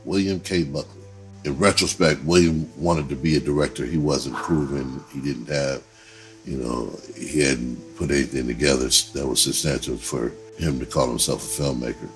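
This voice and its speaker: A man's voice: a bass voice, steady tone, moderate pace, faint music behind, low-quality recording. A middle-aged American man telling personal stories casually, candid and streetwise, repeating words for emphasis. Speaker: entertainer telling autobiographical stories. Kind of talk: casual spoken storytelling.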